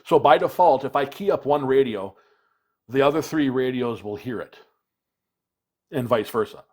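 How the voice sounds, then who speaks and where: A man presents a hands-on product demonstration in a casual way. His voice sits at an average pitch, and he explains each step in plain, practical words. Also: moderate pace, darkish tone. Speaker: product reviewer, video presenter.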